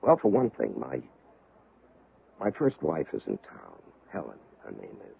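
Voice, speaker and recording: A man playing an exasperated, protective middle-aged husband in a 1970s radio drama, emphatic and pleading, with a raspy voice. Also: rumbling low, wildly swooping pitch, unhurried, narrow, tinny audio, full character voice. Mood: worried, confiding.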